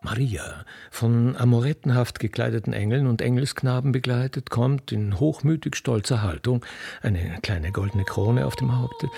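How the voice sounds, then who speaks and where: A man narrating stage directions in a deliberate, theatrical way, as in scripted radio drama. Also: medium pitch, creaky, warm tone.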